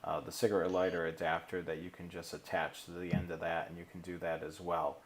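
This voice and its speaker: Man, low-pitched voice, live-stream co-host, casual presenting, halting informal delivery. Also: little pitch movement.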